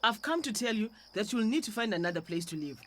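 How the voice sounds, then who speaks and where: A woman's voice: a little high, lively intonation, fast talker. A woman with a Zimbabwean accent playing a hard-nosed, unsympathetic landlady in a radio drama. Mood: cold, firm.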